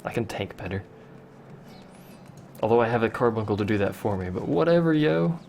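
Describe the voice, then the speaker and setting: A man's voice: fairly low pitch, animated, brisk, vocal fry, a little mellow, a little hiss or hum. A young man casually commenting while playing a game on a livestream, answering chat with wry asides. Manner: chatty, reads chat questions aloud, wry asides. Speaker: let's play streamer.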